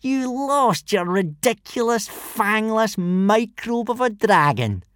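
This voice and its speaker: A man's voice: medium pitch, wildly swooping pitch, moderate pace, fairly bright tone, breathy edge, no room sound, studio quality, exaggerated voice. A man voicing a smug young Viking bully in a storybook, drawling, jeering and mocking.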